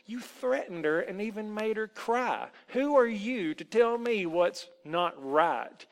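Storybook villain: nasty old male elf, played by a man, gravelly, sneering, in long reverb. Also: high-pitched, fairly bright tone, voice pushed up, heavily acted. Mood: accusing. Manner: mocking, sneering, bossy.

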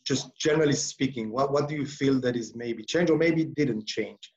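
A man's voice: mid-range voice, moderate pace, dull audio. A man hosting a podcast interview, introducing the show and asking questions hesitantly, with many ums and restarts. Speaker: podcast host.

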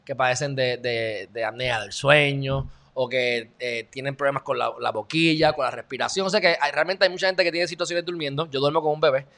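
A man's voice: medium pitch, a little fast, few pauses, fairly bright tone. A man from Puerto Rico with a Puerto Rican accent, giving loose, joking commentary on the news to his viewers. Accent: Puerto Rican Spanish. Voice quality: loose, chatty, joking asides. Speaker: video host.

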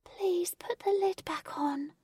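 A shy little fairy girl who barely dares to speak above a high, breathy whisper, as a woman puts her on. She is frightened and hesitant, pleading softly, like a timid creature in a storybook. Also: expressive, unhurried, no echo, studio quality, recorded from a distance. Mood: fearful. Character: shy nervous female fairy kept in a box.

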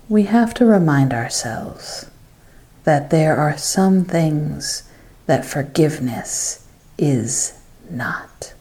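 Female meditation guide, low and mellow, unhurried devotional delivery with phrases that fade softly. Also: lively intonation.

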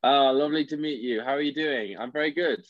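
A man's voice: mid-range voice, fast talker, no echo. A young Irish man, an actor, chatting in an interview over a video call, often restarting his sentences and laughing. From Ireland. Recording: dry, dull lo-fi call audio.

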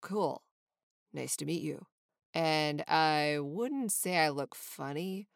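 A laid-back young sandpiper from a children's tale, acted out by a woman. He speaks in a lowered, quieter voice with a friendly, deadpan calm. The recording is dry. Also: slow, gravelly, dry recording, full character voice. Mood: matter-of-fact.

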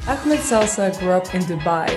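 A woman's voice: lowish voice, animated, moderate pace, over music. A woman hosting an online interview show who presents in an upbeat, enthusiastic way and then chats casually with her guest. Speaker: interview host.

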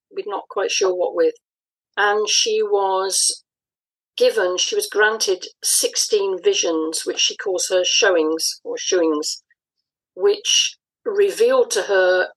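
A woman with a mid-pitched, slightly husky voice talks as a guest in an interview. She explains things conversationally and reflectively, measuring out her phrases with pauses between them. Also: poor audio. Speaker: interview guest, audio dramatist.

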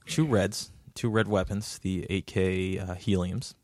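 A young man with a fairly low voice talks through a casual podcast chat, rambling and restarting, circling back on himself with lots of "like". He sits close to a podcast mic, and the sound is very dry with no room echo. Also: steady tone, measured pace, darkish tone. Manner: rambling, many restarts and "like" fillers. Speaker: podcast guest.

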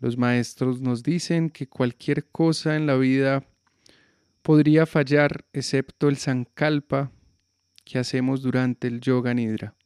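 A man guiding a yoga nidra relaxation with slow, calm, soothing instructions. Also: mid-range voice, clean tone, dry recording, clean studio sound. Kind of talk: guided relaxation meditation.

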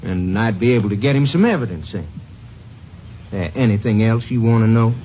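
A man's voice: lowish voice, animated, brisk, natural voice. An actor playing a crooked, evasive, defensive lawman in a 1950s western radio drama, on an old recording. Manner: curt, evasive. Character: crooked, defensive lawman covering his tracks. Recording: muffled, noisy old-time radio recording.

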